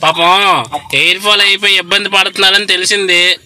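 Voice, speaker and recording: A man making a prank sales call in a polite, halting but insistent way. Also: high-pitched, level tone, bright, forceful tone, soft background music. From Godavari districts, Andhra Pradesh, India. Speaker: prank caller posing as hospital telemarketer. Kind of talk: prank phone call, mock sales pitch.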